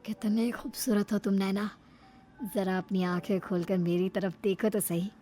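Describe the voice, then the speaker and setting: A woman's voice: medium pitch, fairly even intonation, normal speed, warm tone, faint music behind, lightly acted. A woman voicing a greedy, indignant young bridegroom in a melodramatic, complaining way for a moral story. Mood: coaxing.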